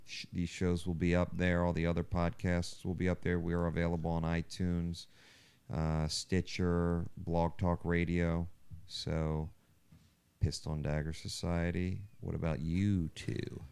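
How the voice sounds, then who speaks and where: A man's voice: deep voice, flat delivery, unhurried, vocal fry, darkish tone, clear, resonant tone. A man hosting a casual podcast and chatting informally. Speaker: podcast host. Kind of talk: casual podcast chat with sponsor plug.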